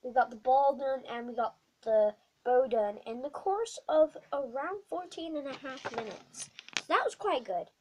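A young girl with a bad cold and a croaky voice, chatting through a craft tutorial vlog in a small bedroom, rambling with many ums. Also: high-pitched, expressive, moderate pace, a little mellow, rough recording. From not American. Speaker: craft vlogger. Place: small dim bedroom indoors.

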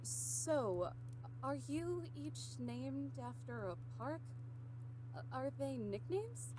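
A young female park ranger in a visual novel game. She is skeptical, exasperated and no-nonsense, with a fairly high, lively voice and faint noise behind it. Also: slow, full character voice. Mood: wary. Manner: exasperated, blunt.

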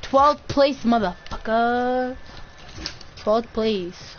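A man's voice: very high-pitched, very slow, muffled recording, a little hiss or hum. A teenage boy streaming a shooter game, teasing and trash-talking his friend in casual banter.

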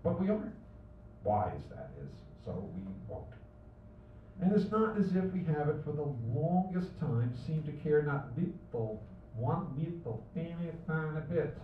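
An older man performs a poem at a cafe open mic. His voice sits a little high and turns theatrical, chanting lines and sinking to near whispers. He is captured from across a roomy cafe on a dull, distant livestream. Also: big pitch swings, a little slow, slight background noise. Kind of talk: poetry reading at an open mic. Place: small cafe at night, indoors. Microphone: stand mic from a far camera.

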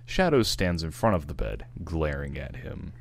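A man's voice: rumbling low, sing-song, moderate pace, creaky. A man reading amateur fan fiction narration, with stage directions told in the present tense.

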